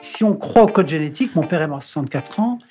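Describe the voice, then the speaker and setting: A man's voice: fairly high pitch, animated, a little mellow, soft background music. Frank reflective man in his sixties. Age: 60s. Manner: reflective, frank, trailing off into pauses. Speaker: interview guest, artist. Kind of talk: candid conversational interview.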